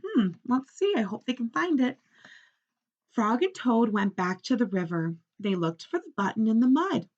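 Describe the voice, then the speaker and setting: A woman teacher reading a children's story aloud in an expressive, instructional way, often stopping to ask questions. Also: a little high, moderate pace, lo-fi sound.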